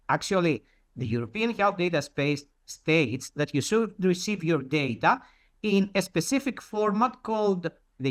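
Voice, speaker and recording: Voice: medium pitch, a bit bright. A presenter giving an explanatory talk in a didactic way, posing questions and then answering them.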